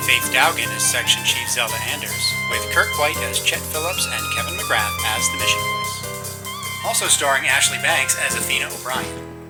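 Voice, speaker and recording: Man reading credits over loud music. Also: mid-range voice. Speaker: credits announcer. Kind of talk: scripted credits narration.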